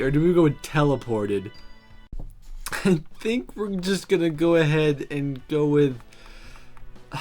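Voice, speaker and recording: A let's play host reading a visual novel aloud, with playful asides and animated delivery. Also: medium pitch. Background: music.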